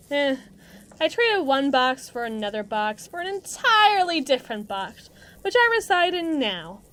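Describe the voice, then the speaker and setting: A mean, cocky female villain's henchwoman voiced by a woman for an audio roleplay. Her voice is high and swings widely from note to note. She talks tough and slangy, mocking the listener with theatrical sarcasm. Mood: sarcastic, jaded. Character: brash, mean female villain henchwoman, muscle for evil agency. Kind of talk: acted monologue roleplay addressed to listener.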